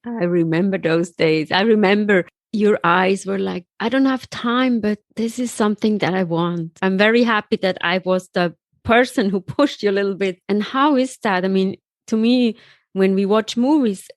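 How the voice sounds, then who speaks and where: A woman's voice: medium pitch, dry recording, studio quality. A woman born in Switzerland hosting a motivational podcast, speaking in an upbeat, encouraging way. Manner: upbeat, encouraging, slow deliberate intro. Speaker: podcast host.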